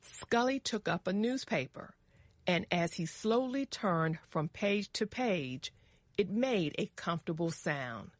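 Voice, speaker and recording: A woman reads a story for language learners at a very slow, measured pace. Her voice is on the low side, each word carefully articulated, in a dry, close recording. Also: animated, dry recording. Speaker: narrator. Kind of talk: scripted story narration for English learners.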